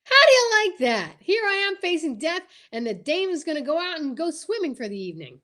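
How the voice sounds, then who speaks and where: Woman as nervous comic sidekick man, extremely high pitch, lively intonation, stammering, hammy punchlines, amateur radio play. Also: a little fast, heavily acted. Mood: cowardly, bemused. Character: Costello, cowardly wisecracking comic man sent lion hunting. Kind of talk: amateur old-time radio comedy acting.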